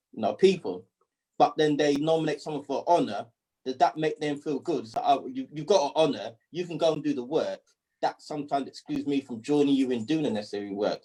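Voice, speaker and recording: A man with a dark, mellow voice speaks on an online panel. He is reflective and questioning, often pausing and restarting as he searches for words. The audio comes through a video call. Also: mid-range voice, low-quality recording. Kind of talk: online group discussion.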